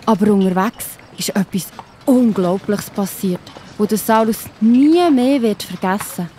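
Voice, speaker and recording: A woman with a Swiss German accent narrating a Bible story for children in a gentle, explaining manner. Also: medium pitch, unhurried, slight background noise.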